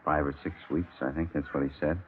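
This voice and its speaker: A genial, businesslike bank manager speaks in a very low voice. The tinny old radio broadcast carries a faint hiss. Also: male voice, flat delivery, a little fast. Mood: helpful. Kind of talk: 1950s radio drama acting.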